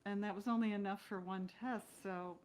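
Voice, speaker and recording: A woman's voice: mid-range voice, fairly even intonation, moderate pace, darkish tone, slight background noise. A woman chatting casually as an interview guest, candid and given to questioning herself. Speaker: guest actress.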